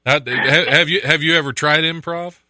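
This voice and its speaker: A man co-hosting a podcast, a newer comic, asking questions in a halting, stumbling way. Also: fairly high pitch, fairly even intonation, bright, forceful tone, dry recording, studio quality. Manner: hesitant, stumbling, asks questions. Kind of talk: casual podcast interview.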